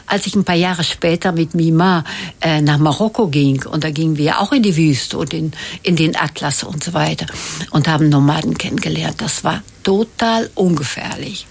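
Husky older woman. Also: low-pitched, normal speed. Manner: rambling, many fillers and restarts. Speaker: interview guest. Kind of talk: casual conversational interview.

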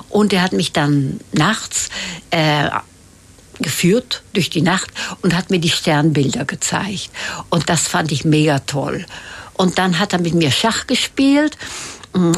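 An older woman born in Italy, whose first language is Italian, speaks German as an interview guest and tells stories in a lively way, full of fillers and repeated words. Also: lowish voice, creaky, slightly rough. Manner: lively storytelling with many fillers and repetitions. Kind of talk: casual interview conversation.

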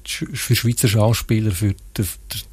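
A man's voice: lowish voice, moderate pace, breathy edge, no room sound. A man interviewing a guest in casual chat, asking rambling questions. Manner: relaxed, rambling questions with fillers.

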